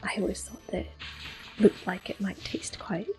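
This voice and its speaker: A woman giving soft-spoken, often whispered ASMR commentary as she plays through a video game. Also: mid-range voice, animated, normal speed. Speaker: host of an ASMR gameplay series. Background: game music.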